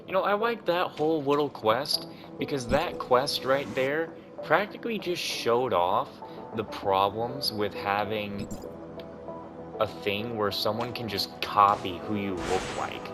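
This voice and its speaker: A man's voice: mid-range voice, expressive, unhurried. A man giving casual, informal and rambling commentary as he plays a video game. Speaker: gamer narrating a playthrough. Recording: loud game music behind voice. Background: game music.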